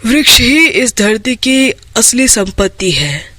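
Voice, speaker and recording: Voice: medium pitch, sing-song, slow pace, pushed and bright, no room sound, heavily acted. A storyteller voicing a kindly, solemn old man who guards a magical tree. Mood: benevolent.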